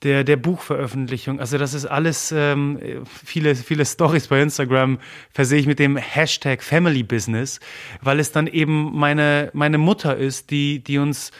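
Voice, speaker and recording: Earnest, halting man, Polish native. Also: on the high side, steady tone. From Germany. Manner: earnest, halting, many fillers and repeated words; emotional. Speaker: interview guest. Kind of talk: personal interview talk.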